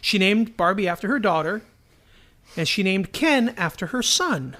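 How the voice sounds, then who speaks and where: A man's voice: high voice, expressive, moderate pace, sharp tone. A middle-aged man hosting a podcast, chatting casually with jokes and digressions. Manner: joking, digressive. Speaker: podcast host. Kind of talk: casual chat.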